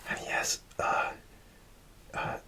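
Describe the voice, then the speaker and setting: A man softly whispering a rambling ASMR story, with many fillers and restarts. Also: takes it slowly. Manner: soft, relaxed whisper throughout. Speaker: ASMR creator.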